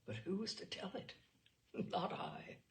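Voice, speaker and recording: Woman as a creaky-voiced, grieving naval captain. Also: medium pitch, steady tone, measured pace, close to an everyday voice. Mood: subdued, moved. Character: grieving, warm-hearted naval captain recounting a friend's devotion.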